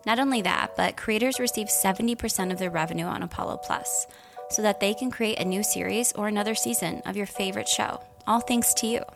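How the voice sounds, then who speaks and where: Creaky-voiced woman reading scripted narration. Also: medium pitch. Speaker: audio fiction creator, writer and narrator. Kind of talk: scripted narration and show promo.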